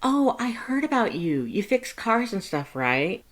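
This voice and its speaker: A narrator voicing a friendly, excitable young college student with a bubbly, eager manner. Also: mid-range voice, a little slow, voice pushed up. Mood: curious, eager.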